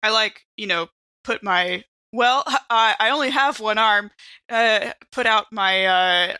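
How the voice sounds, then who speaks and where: Female tabletop player, crisp bright tone in a dry recording, casual rambling role-play chat with a playful touch. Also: medium pitch, unhurried, dry recording.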